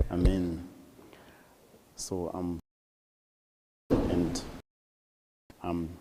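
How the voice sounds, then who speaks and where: A man with a Kenyan accent, English his third language, speaking as a visiting priest to a congregation in a measured, deliberate way with pauses. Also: deep voice, a little mellow. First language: not English; English is his third language. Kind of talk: church talk to a congregation. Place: church hall. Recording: long church reverb.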